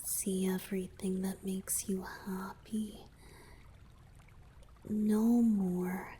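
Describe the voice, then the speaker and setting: A woman voicing an affectionate, playful young merman boyfriend in a romantic ASMR roleplay, tender, breathy and often whispering. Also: mid-range voice, level tone, extremely slow, dark tone, slight background noise. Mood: tender, intimate.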